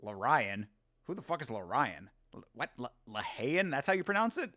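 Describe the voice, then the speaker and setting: A man's voice: medium pitch, big pitch swings, moderate pace, pushed and bright, dry recording, clean studio sound. A man hosting a casual live watch-along, talking in a rambling, laid-back way, swearing, laughing often and answering viewer comments. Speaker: host.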